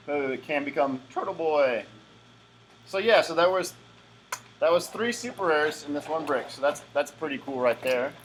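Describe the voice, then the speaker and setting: A young man talks through an unboxing in a loose, rambling way, full of "uh"s and restarts. His voice sits a touch high for a man. A faint hum of room ambience runs under his camera-mic recording. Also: rough recording. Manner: chatty, rambling, lots of fillers and restarts. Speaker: collectible game unboxer. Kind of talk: casual unboxing commentary.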